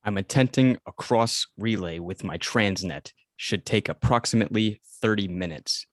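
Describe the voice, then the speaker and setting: A man voicing a calm alien robot companion in a formal, deadpan manner at an amateur table read. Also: on the low side, moderate pace, no echo, lightly acted.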